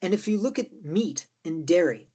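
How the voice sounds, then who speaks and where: Irish male party member, breathy-husky voice, rambling conversational delivery. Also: high-pitched, lively intonation, normal speed, dark tone. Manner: rambling, with many "like" and "right?" tags. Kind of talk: casual political discussion.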